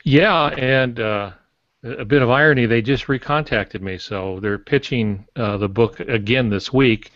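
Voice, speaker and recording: An older man chatting casually as a podcast guest, telling anecdotes and pausing to search for words. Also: mid-range voice, a bit bright. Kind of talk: casual panel talk, anecdotes. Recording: dull lo-fi roomy online audio.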